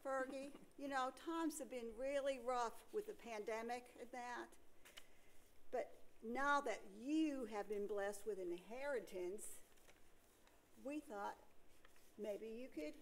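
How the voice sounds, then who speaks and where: A woman's voice: fairly high pitch, slow pace, a little hiss or hum, low-quality recording. A woman playing a wheedling, money-hungry cousin in an amateur staged play reading.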